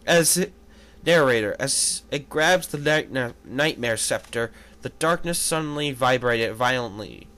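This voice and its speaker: A man reading fan fiction aloud as an amateur, halting and correcting himself, with casual commentary. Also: medium pitch, expressive, a bit bright. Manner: halting, stumbles over words and corrects himself.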